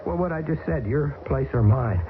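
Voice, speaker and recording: An actor playing a wisecracking American pilot stranded in the Arctic, jaunty and joking, in an old radio drama. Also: male voice, a little high, lively intonation, normal speed, natural voice. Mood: urgent. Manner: jaunty, joking, turning alarmed. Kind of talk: 1970s radio drama acting. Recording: hissy, tinny old radio broadcast.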